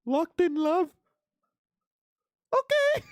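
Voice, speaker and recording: Very animated, theatrical man reading a visual novel. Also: very high voice, drawn-out words, dry recording, studio quality. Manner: theatrical, screaming. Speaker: gaming video creator. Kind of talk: reading a visual novel aloud with dramatic reactions.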